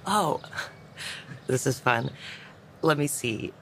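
A woman's voice: rumbling low, animated, very slow, fairly bright tone, exaggerated voice. An actress playing a good-natured small-town woman in an audio drama, talking casually about a local legend. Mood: playful. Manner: easygoing, casual. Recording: clear background ambience noise.

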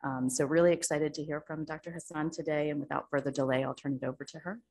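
A woman's voice: sits low, fairly even intonation, fast, darkish tone, husky. A woman moderator reading a formal speaker introduction over a video call, with a few hesitant fillers. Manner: reads a bio with some hesitant fillers.

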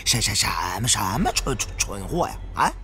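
A man voicing a rough, agitated young tomb robber with a heavy stutter in an audiobook. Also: mid-range voice, big pitch swings, moderate pace, sharp tone. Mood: impatient, irritated. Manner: heavy stutter, repeating first syllables. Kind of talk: audiobook character acting.

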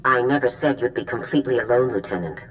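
A woman's voice: rumbling low, quick pace, slight background noise, low-quality recording. A woman voicing a stern, formal, authoritative female military general in a video game. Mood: commanding. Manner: formal, commanding. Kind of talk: video game voice acting, mission briefing.

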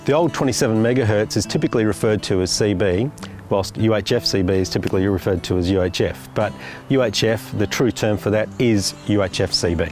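A man's voice: on the low side, a little fast, a little mellow, faint music behind. An Australian man in his forties presenting an explainer to camera, stressing key terms. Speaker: company presenter.

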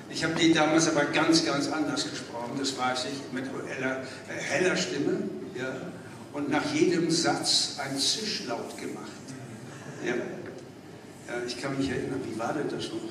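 An older man, a voice actor on a convention panel, talking spontaneously in a hesitant, musing way. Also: a little high. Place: theatre stage, indoors. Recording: echoey hall from far off, loud noise.

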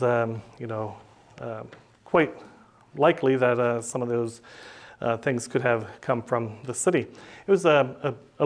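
A middle-aged man, preaching a teaching sermon at a podium in a small church and speaking plainly with many "uh" and "um" fillers. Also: mid-range voice. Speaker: pastor. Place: small church room, indoors. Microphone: podium microphone.

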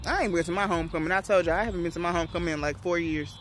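A woman's voice: fairly low pitch, rapid-fire. A young woman chatting playfully to her viewers while vlogging on a college campus, filming on a phone at arm's length outdoors. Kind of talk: casual vlog chat. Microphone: phone camera held at arm's length.

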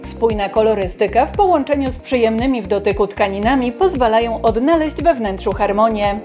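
Female interiors host, scripted polished read, slightly high voice, light music bed. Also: a little fast. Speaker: host of a home interiors show. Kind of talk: scripted voice-over and interview questions.

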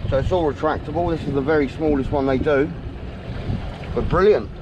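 A man's voice: on the high side, fairly quick. A man from England talking casually and bluntly as he shows off gear on his small motorboat, recorded outdoors at sea on an action camera. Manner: chatty, blunt, mild swearing. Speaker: boat owner demonstrating an autopilot. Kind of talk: casual talk-through while boating. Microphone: action camera on the boat. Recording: wind-buffeted action camera, loud engine and water. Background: loud wind and engine noise.

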